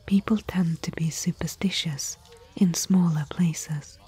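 A woman playing a thoughtful, bookish expedition companion in gentle, musing, whispery ASMR roleplay. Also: on the low side, steady tone, a little slow. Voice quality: breathy, whispery. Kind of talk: soft-spoken ASMR roleplay.

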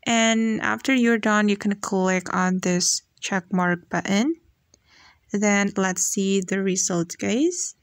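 A woman's voice: medium pitch, slow pace, dry recording, clean studio sound. A woman narrating a step-by-step how-to tutorial as its host.

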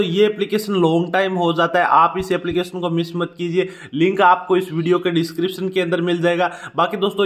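A man narrating an app walkthrough on a phone screen in a steady, repetitive tutorial patter. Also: sits high. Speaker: YouTube app reviewer. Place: indoors, filming a phone screen.

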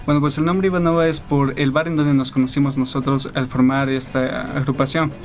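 A man's voice: mid-range voice, flat delivery, fairly quick. A young man in his twenties from Ecuador, a band guitarist, answering interview questions casually with many fillers in a music studio. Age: 20s.

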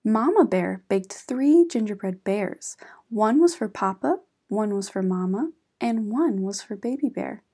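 A woman reads a picture book for children with very animated, expressive intonation. Her voice is soft-toned and mellow, with a husky edge. She takes her time, like a deliberate storyteller. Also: medium pitch. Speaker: read-aloud channel host.

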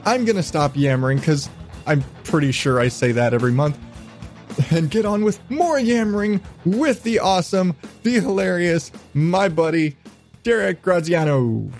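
A man's voice: a little high, big pitch swings, a little slow, darkish tone, soft background music. A man hosting a podcast in casual, profane chat, rambling along with irreverent, self-mocking asides. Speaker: podcast host.

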